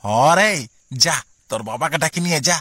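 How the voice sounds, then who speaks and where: A man's voice: a little high, expressive, moderate pace, a bit bright, dry recording, clean studio sound. A man voicing a cheeky, brazen young village prankster in a comic cartoon, with a rural Purulia Bengali accent. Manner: teasing, brazen. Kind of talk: amateur comic cartoon dialogue.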